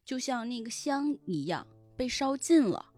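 A woman explaining things in animated casual chat as a guest on a talk show. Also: fairly high pitch, measured pace. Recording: background music under the voice.

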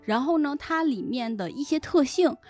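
A woman's voice: sits high, animated, moderate pace, a little mellow, soft background music. A woman talking casually as a podcast guest, explaining things step by step with plenty of examples. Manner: explanatory, illustrates points with examples and imagined reader voices. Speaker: guest, web fiction editor.

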